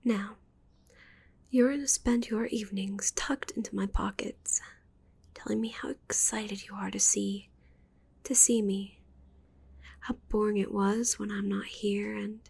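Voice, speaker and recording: A woman playing a needy, possessive witch in an intimate ASMR roleplay, cooing with soft menace in a breathy whisper. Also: medium pitch, steady tone, unhurried, long pauses. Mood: doting, clingy. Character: possessive, needy young witch doting on shrunken captive.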